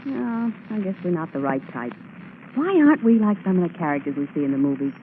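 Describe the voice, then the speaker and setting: A woman's voice: on the low side, very animated, quick pace. An actress playing a spirited, playful female sidekick to a crime photographer in a 1940s radio drama. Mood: playful, wistful. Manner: playful, wry, eager. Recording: thin, lo-fi 1940s radio with hiss.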